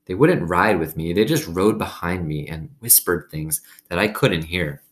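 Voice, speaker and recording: A man's voice: sits low, expressive, normal speed. A man reading a children's story aloud expressively, with casual asides to his listeners. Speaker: reader reading a children's novel aloud to students.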